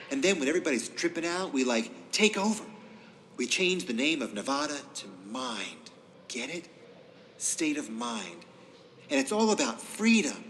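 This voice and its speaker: An actor playing a groovy, spacey hippie witness in an audio drama, talking in slangy phrases. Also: male voice, medium pitch, fairly bright tone, a little hiss or hum, poor audio. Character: groovy, spacey male hippie giving court testimony.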